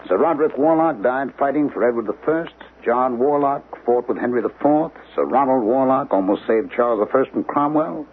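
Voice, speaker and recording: A man's voice: medium pitch, fairly quick, few pauses, lightly acted. A man playing a weary forty-something writer on old radio. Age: 40s. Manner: wry, musing, drifting into gloom. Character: weary 42-year-old male writer, brooding. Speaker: narrator and lead. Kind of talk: 1970s radio drama acting. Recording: tinny lo-fi old radio broadcast with faint hiss.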